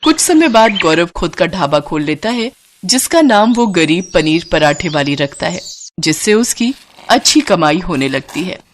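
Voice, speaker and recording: A woman narrating a scripted moral story in an expressive storyteller's style. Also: on the low side, unhurried, slight background noise.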